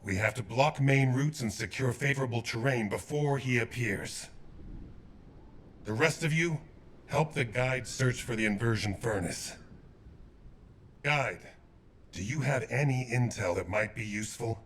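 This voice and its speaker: An actor playing a grave, authoritative squad leader giving orders in a video game. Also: male voice, mid-range voice, unhurried, pauses often, a bit bright, a little hiss or hum, close to an everyday voice. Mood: commanding. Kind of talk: dramatic video game voice acting.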